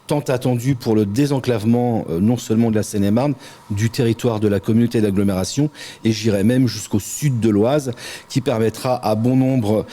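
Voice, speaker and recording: A middle-aged man, a local elected official, answering interview questions in a measured way with frequent hesitant pauses. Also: fairly low pitch, dark tone. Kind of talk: interview answers, political talk.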